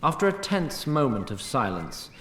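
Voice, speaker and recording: A man giving scripted narration for a fantasy audio drama, in a wry and deliberate manner. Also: medium pitch, very animated, fairly bright tone, echoey room, a little hiss or hum.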